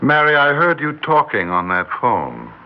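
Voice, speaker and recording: Brief: probing teacher in vintage radio drama, very animated intonation, muffled archival sound. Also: male voice, medium pitch, a little slow. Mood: suspicious. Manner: earnest, insistent, probing. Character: earnest, suspicious male schoolteacher narrating and questioning a pupil. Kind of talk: old-time radio drama acting and narration. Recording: muffled, hissy old-time radio recording.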